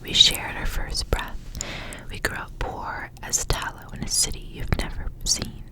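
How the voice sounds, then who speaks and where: A woman whispering in a breathy, unvoiced voice, reading stories aloud for ASMR in a casual, unhurried, informal way. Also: rough recording.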